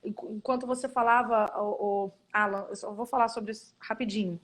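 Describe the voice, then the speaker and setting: A Brazilian missionary woman telling stories from her work with children, earnest and animated, acting out quotes as she goes. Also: on the high side, brisk, rough recording. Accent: Brazilian Portuguese. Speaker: missionary guest. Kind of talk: casual testimony in a live stream.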